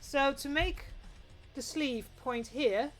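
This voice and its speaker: A woman's voice: sits high, slow pace, a bit bright, soft background music. A woman with a Dutch accent, touched by British English, chatting casually with her stream chat while working on a sewing project, rambling and restarting often. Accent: Dutch, with some British English. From Netherlands.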